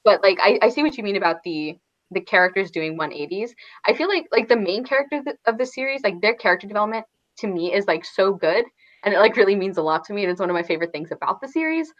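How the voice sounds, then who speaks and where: A woman's voice: medium pitch, lively intonation, quick pace, lo-fi sound. A woman in a casual, rambling book discussion, peppering her sentences with "like". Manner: rambling, frequent "like". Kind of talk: casual chat about books.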